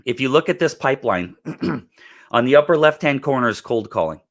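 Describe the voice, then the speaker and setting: A middle-aged man with a raspy voice from recent illness, giving an emphatic live training on real estate networking and often clearing his throat. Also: medium pitch, animated, fairly quick.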